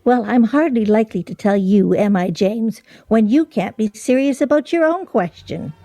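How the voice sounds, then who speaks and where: A composed, dryly reasonable woman played by an actress in a radio play. Her voice is soft and mellow, recorded close and dry, with a measured delivery. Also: a little high, animated, no echo. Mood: dry irritation.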